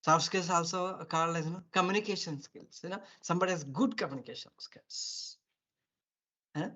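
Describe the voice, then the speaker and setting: A male teacher giving a soft skills class, rambling with many "you know" fillers. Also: sits high, a little fast. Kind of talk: online class lecture. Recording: dull lo-fi audio.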